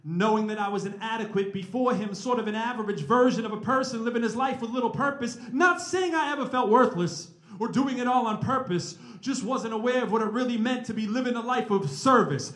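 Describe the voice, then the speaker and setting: Energetic man in his forties, echoey room. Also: very high voice, brisk, hardly any pauses. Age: 40s. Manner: energetic, conversational. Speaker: rapper and mathematics professor giving a talk. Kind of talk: informal live talk with spoken-word rap. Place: indoor live event at a college. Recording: roomy, echoing live event recording.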